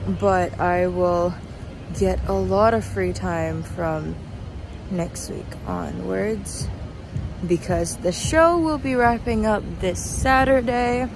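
A young woman, tired and sleep deprived, chatting casually and haltingly in a rambling, self-deprecating way as she walks with her phone. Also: mid-range voice, steady tone, creaky, over music. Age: young adult. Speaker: vlogger, student performer. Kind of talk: casual walking vlog, rambling chat. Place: outdoors on a city street at night, walking. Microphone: phone at arm's length. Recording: noisy outdoor street, traffic ambience.

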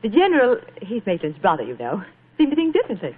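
A woman's voice: mid-range voice, huge pitch swings, fast talker, husky. An actress playing a gracious, refined British stage leading lady in a 1940s radio play, with a British accent and polite manner. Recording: muffled, narrow-band old radio recording.

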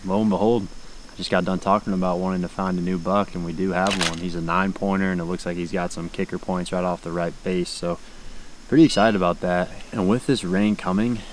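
A man's voice: deep voice, fairly even intonation, fairly quick, a little hiss or hum, rough recording. A young American man chatting casually to camera as the host of an outdoor vlog. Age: young adult. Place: outdoors in fields and woods.

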